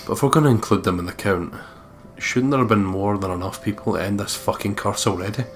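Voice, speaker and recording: A man's voice: on the low side, fast talker, a little hiss or hum. A man hosting a let's play, commenting casually and reading game text aloud in a jokey, sweary way. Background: game music.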